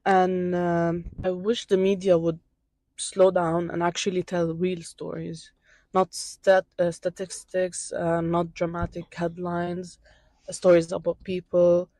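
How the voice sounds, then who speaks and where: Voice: mid-range voice, monotone, slow pace, a little mellow, no room sound. A student from Syria answering interview questions on a campus outdoors, speaking hesitantly and searching for words.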